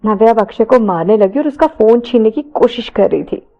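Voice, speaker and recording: High-voiced woman narrating a romance. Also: animated, a little fast, highs cut off. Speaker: storyteller. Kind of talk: dramatised romance story narration.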